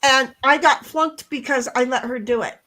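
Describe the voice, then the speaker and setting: A middle-aged woman with a loud voice, telling a story bluntly in a casual chat, swearing now and then. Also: sits high, normal speed. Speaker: co-host.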